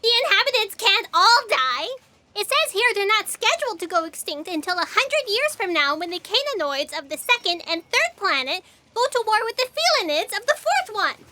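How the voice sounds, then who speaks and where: A woman playing a frantic, high-strung female writer in a sci-fi comedy audio drama, whiny and agitated. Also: big pitch swings, moderate pace, heavy background noise. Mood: whiny despair.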